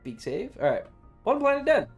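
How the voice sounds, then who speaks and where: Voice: sits high, big pitch swings, normal speed. A live streamer giving casual game commentary in a playfully bratty, sweary manner. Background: faint game music.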